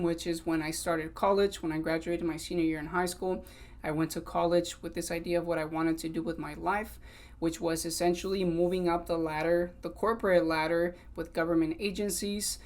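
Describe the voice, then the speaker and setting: Earnest young male podcast host. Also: on the high side. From Sacramento, California, also raised in Mexico. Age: 20s. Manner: earnest, conversational, switches between English and Spanish. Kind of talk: solo podcast monologue.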